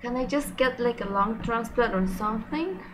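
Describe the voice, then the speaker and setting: A woman's voice: on the high side, normal speed, lightly acted. Young woman as a candid teenage patient, noisy recording. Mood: hesitant, hopeful. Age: teenager or young adult, high school student. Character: teenage girl cancer patient, weary and candid. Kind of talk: amateur student book dramatization. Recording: amateur recording with background noise.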